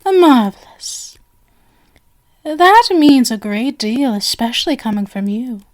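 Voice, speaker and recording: Woman playing a slow, high-voiced sinister lady magician. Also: big pitch swings, a little mellow, exaggerated voice. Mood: attentive, flattering. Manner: theatrical, flowery, coaxing, drifting into whispers. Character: sinister female stage magician, genial, flowery, possessive. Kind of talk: ASMR roleplay acting.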